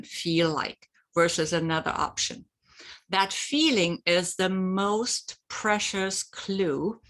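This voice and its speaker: Unhurried German-native woman. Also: mid-range voice, fairly bright tone. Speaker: host. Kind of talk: reflective solo talk with guided exercise.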